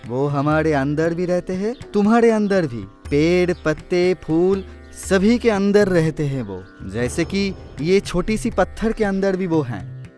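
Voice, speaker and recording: A man playing a gentle, devout divine sage in a cartoon, with measured, instructive delivery and a chanting way of talking. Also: sits high, lively intonation. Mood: gentle teaching. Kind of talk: cartoon mythological dialogue. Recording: faint music behind.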